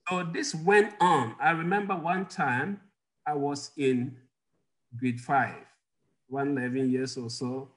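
A man's voice: mid-range voice, slow pace. Man telling stories on a video call. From Ghana. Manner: warm storyteller, chuckles often, asks the audience questions. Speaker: guest doctor. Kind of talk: personal storytelling in an interview. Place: home office, indoors.

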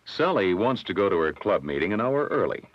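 An American man narrates an educational film from the 1960s over background music. His low voice moves with lively intonation and a measured, instructive delivery. The soundtrack is dull and lo-fi.

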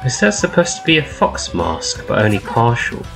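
A man's voice: medium pitch, huge pitch swings, normal speed, creaky. A man giving casual live reaction commentary as a host, recorded on a laptop's built-in microphone. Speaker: host reacting to a game trailer. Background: faint trailer music.

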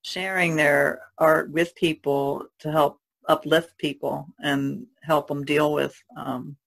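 A woman chatting casually as an artist on a podcast panel, in a tentative, halting way. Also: deep voice, little pitch movement, vocal fry, low-quality recording.

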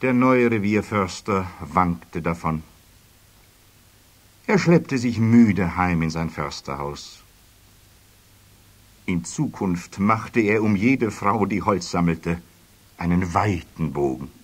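A man's voice: deep voice, animated, slow pace, pauses often, exaggerated voice. A man narrating a children's story as scripted radio play narration.

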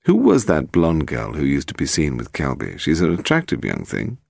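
A calm gentleman detective, played by a male narrator in an audiobook. He is wry and measured, asking questions in a low voice with a creaky edge. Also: dry recording, studio quality, heavily acted. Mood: curious.

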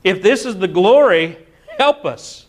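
A middle-aged man preaching a sermon in a church hall, animated and often breaking into mock singing and laughter. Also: high voice, unhurried. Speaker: pastor. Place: church hall with projector screen.

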